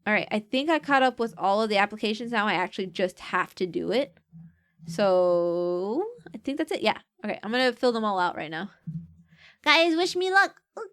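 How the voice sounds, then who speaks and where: A woman streaming, chatting casually and rambling with fillers, letting her pitch swing with her mood. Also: lively intonation, moderate pace, fairly bright tone, smooth.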